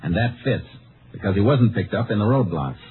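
An actor playing a steady, shrewd Texas Ranger investigator in 1950s radio crime drama, terse and matter-of-fact. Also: male voice, lowish voice, fairly quick, slight background noise. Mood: deductive. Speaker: lead actor and narrator. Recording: muffled old radio recording with music.

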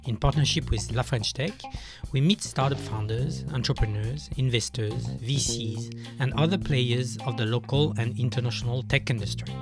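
A man's voice: medium pitch, moderate pace, over music. A man hosting a podcast interview, asking questions with hesitant repeated words. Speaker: podcast host.

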